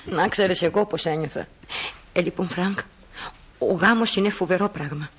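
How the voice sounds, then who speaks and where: A woman's voice: fairly low pitch, a bit bright, slightly rough. A woman playing a practical, fussing middle-aged mother and wife in a radio play, with a matter-of-fact, scolding manner. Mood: resigned.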